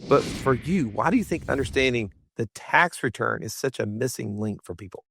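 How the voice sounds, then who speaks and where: A man co-hosting a finance podcast, upbeat and fired up, with a raspy voice. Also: expressive, normal speed, fairly bright tone, slight background noise. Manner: upbeat, hyped, salesy pitch. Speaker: podcast co-host. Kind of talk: energetic podcast chat.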